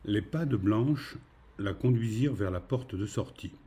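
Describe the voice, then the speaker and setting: A man reading literary fiction as a narrator, in a measured storytelling manner. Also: on the low side, warm tone, husky.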